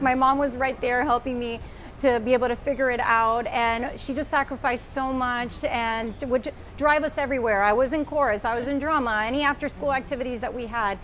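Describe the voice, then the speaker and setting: A woman's voice: high-pitched, a bit bright, slight background noise. A woman who works as a news anchor, talking earnestly and reflectively in a heartfelt conversation.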